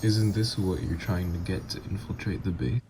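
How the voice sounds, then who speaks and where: Man playing a very deep-voiced flirty supervillain ex. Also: fairly even intonation, moderate pace, natural voice. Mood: taunting. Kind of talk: ASMR roleplay acting. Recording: noisy, with background ambience.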